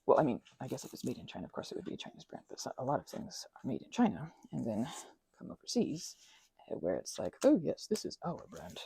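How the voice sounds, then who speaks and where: A young woman chatting in a rambling, casual way while she works on a craft project, sometimes dropping into soft whispers. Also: rumbling low, huge pitch swings, fast talker, gravelly, breathy edge, dry recording. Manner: chatty, rambling, drifts between soft whispers and louder asides. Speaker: ASMR creator.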